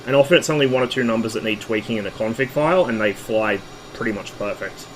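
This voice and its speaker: A man streaming a flight simulator, explaining how to fly in a relaxed way with hesitant fillers, his voice hoarse from a recent flu. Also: medium pitch, fast talker, slight background noise, low-quality recording. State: losing his voice after a flu. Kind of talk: casual flight-sim commentary.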